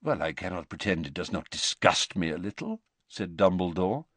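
A man voicing an elderly male wizard headmaster, speaking quietly and with measured courtesy. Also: sits low, sing-song, slightly rough, dry recording, heavily acted. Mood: calm.

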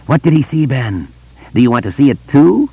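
A man voicing an ancient, cruel vampire monster that screams shrill, taunting questions in an audiobook horror story. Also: big pitch swings, moderate pace, husky, dry recording, dull audio. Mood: shrieking taunting.

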